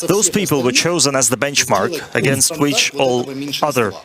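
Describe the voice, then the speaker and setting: A man interprets a guest's long answers at a steady, measured pace and stops now and then to restart a phrase. The pitch of his voice is middling for a man. A clear crowd murmur runs behind him. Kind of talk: consecutive interpretation.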